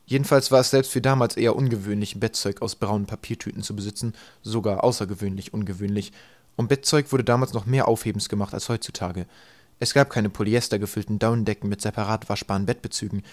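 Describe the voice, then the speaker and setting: A man reading a comic story as an audiobook narrator, in a dry, deliberate storytelling manner. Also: fairly low pitch, fairly even intonation, no echo. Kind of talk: scripted literary narration, storytelling.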